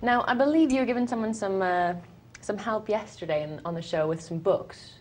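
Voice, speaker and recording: A woman co-hosts a late-night poker broadcast, her voice at an even, middling pitch. She teases her partner and reads out viewer messages in a light, playful tone. A faint low hum sits underneath. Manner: playful, teasing, quick to laugh. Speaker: poker show co-host. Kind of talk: live poker show banter.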